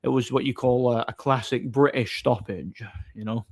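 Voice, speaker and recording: A man hosting a livestream, chatting casually and off the cuff in a blunt, opinionated, somewhat halting way. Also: medium pitch. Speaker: livestream host. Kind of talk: casual off-the-cuff chat, answering viewer comments.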